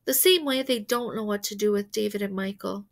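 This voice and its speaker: A woman voicing an earnest, protective mother from a storybook, speaking in a measured, sincere way. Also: medium pitch, gravelly, lightly acted. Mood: earnest, subdued. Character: earnest, protective mother defending her misunderstood son.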